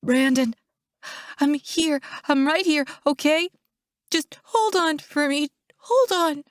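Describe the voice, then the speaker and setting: A woman's voice: high-pitched, takes it slowly, no echo, pitched above the performer's own voice. A woman voicing a desperate, grieving woman in labor, pleading and crying out in a dramatic audiobook. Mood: frantic concern. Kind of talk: dramatic audiobook character acting.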